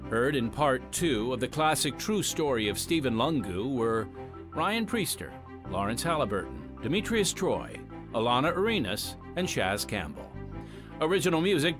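A man speaks as a podcast host, delivering scripted announcements and narration. His pitch is mid-range and moves in a lively way, with faint music playing behind him.

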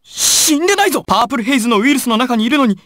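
An actor playing an intense, volatile young male gangster with a husky voice in dramatic video game dialogue. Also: very high-pitched, expressive, racing, dry recording, studio quality. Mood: astonished, admiring. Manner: dramatic, swinging from controlled analysis to urgent shouting. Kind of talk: dramatic Japanese game dialogue and battle clips.

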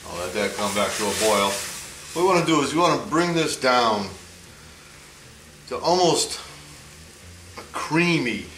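A middle-aged man hosting a home cooking show, walking through a recipe in a relaxed, folksy way with wry jokes. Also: medium pitch, a little slow, pauses often, noisy background. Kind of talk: casual cooking demonstration. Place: home kitchen, pans sizzling. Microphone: camera mic from a distance. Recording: echoey home video from far off.

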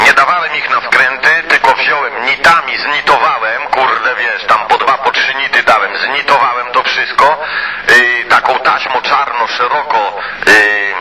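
A man's voice: mid-range voice, a little slow. A man chatting casually over CB radio in a colloquial, rambling way, with mild swearing and filler phrases. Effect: CB radio transmission. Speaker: CB radio hobbyist. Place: two-way CB radio conversation. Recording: noisy CB radio transmission.

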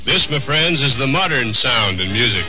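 Guy doing an old radio ad, music loud behind him, muffled recording. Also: mid-range voice, lively intonation, moderate pace. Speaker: commercial announcer. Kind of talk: 1950s radio advertisement.

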